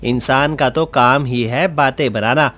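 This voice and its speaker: A man voicing a wise, calm talking crow in a cartoon fable, measured and preachy, with music behind. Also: medium pitch, close to an everyday voice. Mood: knowing calm. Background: background music.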